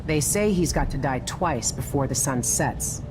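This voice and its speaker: A woman playing a steady informant who gives a reconnaissance briefing in a video game. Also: low-pitched, unhurried, over music, poor audio. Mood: measured, businesslike. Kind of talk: video game mission dialogue.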